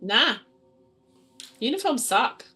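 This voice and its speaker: A woman's voice: on the high side, sing-song, slow, sharp tone, breathy edge. A woman playing a tabletop role-playing game, improvising in character in a playful, sweary, casual way. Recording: music behind the voice.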